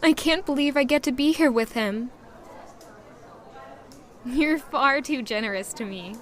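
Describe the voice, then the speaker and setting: Woman doing a shy young ex-orphan girl in an audio drama, soft and unsure, kinda high voice. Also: unhurried. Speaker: narrator and lead character. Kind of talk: audio drama acting with first-person narration.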